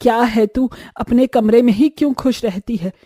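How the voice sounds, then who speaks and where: A woman's voice: a little high, moderate pace, warm tone, dry recording, clean studio sound, lightly acted. A woman playing a stern, demanding older mother-in-law in a cartoon family story, scolding as she talks. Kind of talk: Hindi cartoon story acting.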